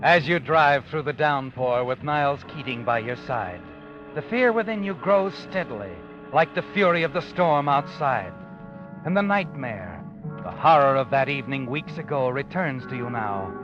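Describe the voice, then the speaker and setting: A man's voice: on the high side, sing-song, moderate pace, dull audio. A man playing an ominous, all-knowing narrator in a 1940s radio crime drama, brooding and suspenseful. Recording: old radio recording, loud music behind.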